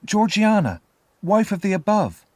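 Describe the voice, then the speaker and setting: A man's voice: sits high, sing-song, unhurried, a little mellow, no echo, voice pushed up. A man voicing a frightened, polite little orphan boy in a storybook, timid and pleading. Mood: nervous, polite.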